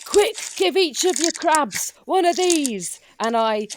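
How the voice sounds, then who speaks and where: A woman's voice: high-pitched, animated, slow, a little hiss or hum. A woman improvising in-character dialogue as a player in a roleplay podcast.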